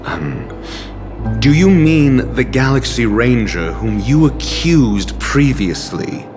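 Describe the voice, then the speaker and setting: A man's voice: mid-range voice, very animated, slow. A man voicing a haughty, clever male doctor in a game, dry and condescending. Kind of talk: game voice acting. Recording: game audio with music behind.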